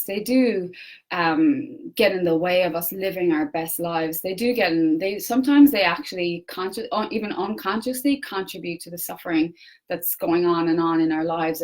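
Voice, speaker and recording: A young Irish woman with an Irish accent gives an earnest solo livestream talk, with many pauses and restarts. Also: fairly low pitch, a little mellow. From Ireland. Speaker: personal development coach. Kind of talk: solo Facebook Live talk.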